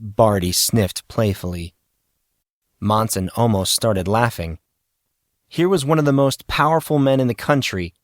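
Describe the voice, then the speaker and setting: A man's voice: medium pitch, no room sound, clean studio sound. A man reading scripted fiction narration as the narrator of an audio story.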